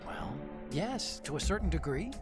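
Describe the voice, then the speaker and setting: Earnest brainy engineer, higher voice. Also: male voice, wildly swooping pitch, moderate pace. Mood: earnest, explanatory. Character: earnest, brainy male computer engineer confiding over radio. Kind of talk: dramatic video game voice acting. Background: game music.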